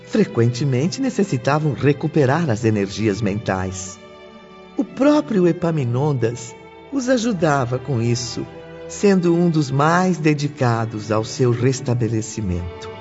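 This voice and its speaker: A man narrating an audiobook from a script in a solemn, deliberate way. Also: mid-range voice, sing-song, a little mellow. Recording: muffled, clear background music.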